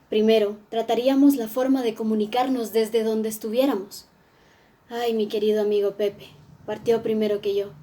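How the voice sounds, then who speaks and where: A woman's voice: a little high, mellow, slight background noise, lightly acted. A woman playing a frank, witty nineteenth-century woman writer, telling her life story in first person and speaking straight to the audience. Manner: chatty, addresses the audience directly. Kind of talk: first-person historical monologue.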